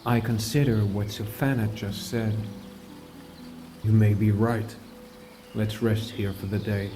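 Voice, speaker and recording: A man playing a calm, caring fantasy adventurer and mentor, narrating his journey in a measured, earnest first person for an audiobook. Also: on the low side, over music, lightly acted. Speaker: narrator and lead character.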